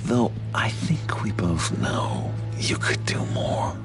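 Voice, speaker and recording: Gleefully manipulative asylum inmate for a game: gravelly, wildly swooping pitch, loud music underneath. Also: male voice, sing-song. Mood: sly goading. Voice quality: gravelly, creaky. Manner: drawn-out, taunting, swerving from whisper to outburst. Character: sly, theatrical, unhinged male asylum inmate, gleefully manipulative. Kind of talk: video game voice acting.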